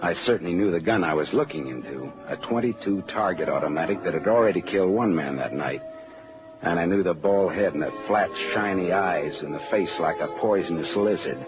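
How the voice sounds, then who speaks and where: An actor with an American accent playing a tough, wisecracking private detective in a clipped, wry 1940s radio noir style. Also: male voice, low voice, a little fast, lightly acted. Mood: wry, tense narration. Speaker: lead actor and narrator. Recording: muffled old radio recording with music.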